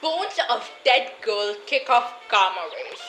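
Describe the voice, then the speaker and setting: A woman's voice: a little high, lively intonation, slow pace, a bit bright, soft background music. A teenage girl playing a dramatic 1920s news bulletin reader in a radio drama. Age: teens, high school student. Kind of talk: 1920s newsflash announcing.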